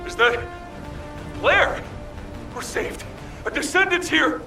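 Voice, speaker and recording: A man's voice: very high voice, expressive, slow, vocal fry, music in the background, heavily acted. An actor playing an earnest young male soldier in a video game, strained and grateful, in distant echoing audio. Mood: relieved, awed.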